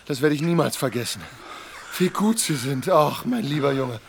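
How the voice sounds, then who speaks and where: An actor in a radio drama playing an effusive, sentimental middle-aged gentleman with a gushing, theatrical manner. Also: fairly high pitch, expressive, moderate pace, creaky, a little hiss or hum. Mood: moved, gushing. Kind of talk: German radio drama acting.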